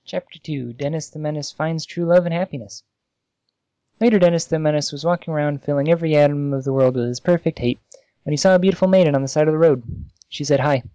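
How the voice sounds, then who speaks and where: A man's voice: a little high, quick pace, dark tone, smooth, no room sound, dull audio. A man co-hosting a podcast, casually reading comic fan fiction aloud with amusement.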